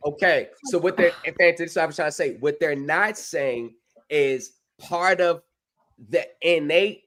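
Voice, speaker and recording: A man's voice: on the high side, unhurried, a bit bright. A man on a livestream panel, explaining his view in long, rambling sentences full of repeated phrases. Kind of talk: heated debate on a livestream panel.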